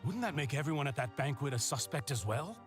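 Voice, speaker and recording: A man's voice: mid-range voice, big pitch swings, fast talker, music in the background, lightly acted. A man playing a mild, apologetic accused man in a video game, polite and flustered as he pleads innocence. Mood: pleading.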